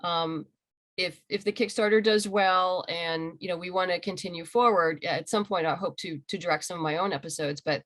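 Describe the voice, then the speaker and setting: Low-pitched woman, enthusiastic interview guest. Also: a little fast, fairly bright tone. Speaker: interviewed comic book writer and audio drama creator. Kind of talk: casual interview conversation. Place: remote video call.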